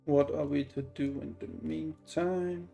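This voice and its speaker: A man livestreaming a game and chatting casually, halting and repeating himself. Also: fairly high pitch, steady tone, vocal fry, dark tone, faint music behind. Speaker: game streamer.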